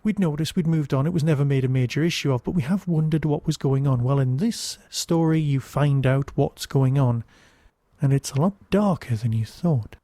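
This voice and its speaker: A man's voice: medium pitch, normal speed, warm tone, no room sound. A man hosting a podcast, giving casual, digressive review commentary with wry asides.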